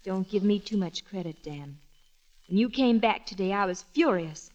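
A woman's voice: medium pitch, animated, moderate pace, a little mellow, close to an everyday voice. An actress playing a forgiving, wistful young woman in a 1940s radio drama. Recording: muffled old radio broadcast.

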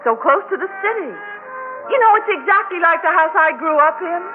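An actress playing a high-strung woman of a family, with dramatic exclamations, in a 1960s radio drama. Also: animated, brisk. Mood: excited. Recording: tinny old radio recording, music behind.

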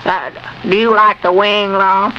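A man's voice: high-pitched, flat delivery, unhurried. A male actor playing a hungry, simple, wheedling country bumpkin with a hesitant rural Southern drawl in 1930s radio comedy. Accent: rural Southern American. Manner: hesitant, stammering, chuckling drawl. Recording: muffled, noisy 1930s radio recording.

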